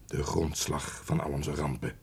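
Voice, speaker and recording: An actor playing a loyal, honest manservant in a formal radio play, speaking in a grave, sombre and measured manner. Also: male voice, extremely low pitch, level tone, vocal fry, a little mellow, slightly rough, exaggerated voice. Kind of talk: Dutch radio play acting, formal narration.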